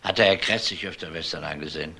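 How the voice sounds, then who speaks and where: An actor playing a dry, polite, methodical police detective questioning people in a German crime radio drama. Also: male voice, deep voice, fast, vocal fry, sharp tone, breathy edge, full character voice. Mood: polite, insistent.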